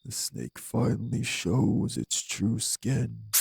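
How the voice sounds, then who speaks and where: A man playing an arrogant, obsessive vampire villain in an audio drama, with a dark, raspy voice and grandiose, measured menace. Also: medium pitch, little pitch movement, no echo, heavily acted. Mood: menacing. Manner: grandiose, formal, measured threats. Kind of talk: dramatic audio roleplay acting.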